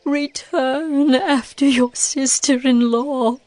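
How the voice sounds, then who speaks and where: A slow, sorrowful older widow. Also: female voice, high voice, steady tone, darkish tone. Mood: pleading, grieving. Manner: slow, emotional, pleading delivery. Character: grieving, bitter older widowed mother-in-law. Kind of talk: dramatized scripture reading.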